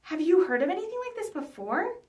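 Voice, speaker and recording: A woman's voice: extremely high pitch, very animated, brisk, a little mellow, echoey room, voice pushed up. A woman voicing an unsettled, doubtful schoolgirl in a storybook reading, hesitant and questioning. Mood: puzzled. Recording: voice picked up from some distance.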